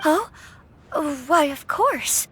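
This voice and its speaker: A very high, lilting young noblewoman from a video game. She sounds gentle, refined and polite, with lively swings in pitch and a faint noise behind her. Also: drawn-out words, heavily acted. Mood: polite, intrigued. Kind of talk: scripted game dialogue.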